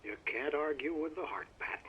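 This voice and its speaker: An actor playing a wry, plainspoken small-town doctor in conversation on a radio show within a video game. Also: male voice, fairly high pitch, moderate pace. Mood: gently arguing. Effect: radio filter. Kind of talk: conversational radio interview acting. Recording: dull, noisy radio broadcast.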